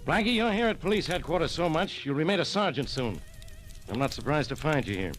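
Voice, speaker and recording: Fast, blustery radio police inspector. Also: male voice, a little high, big pitch swings, over music. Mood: sore, sarcastic. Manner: scolding, sarcastic. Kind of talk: 1940s radio acting. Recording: old radio drama recording.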